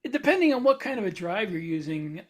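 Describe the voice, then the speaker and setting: A man co-hosting a podcast, talking through technical points in a deliberate, matter-of-fact and argumentative way. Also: high voice, very animated. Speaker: podcast co-host and science fiction author. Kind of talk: casual technical discussion.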